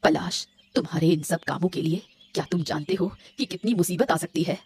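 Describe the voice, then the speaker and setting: A woman's voice: fairly low pitch, fairly quick, darkish tone, slight background noise, close to an everyday voice. A woman voicing a stern, disapproving mother-in-law in a Hindi cartoon, speaking firmly and reproachfully. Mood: scolding. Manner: firm, reproachful. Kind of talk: Hindi moral-story cartoon voice acting. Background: music.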